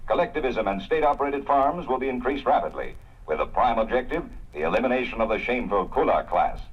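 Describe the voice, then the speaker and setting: Stern official newsreader, rapid formal bulletin delivery, muffled through an old radio. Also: male voice, mid-range voice, sing-song, slightly rough. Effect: heard through a radio set. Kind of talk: old-time radio news bulletin acting.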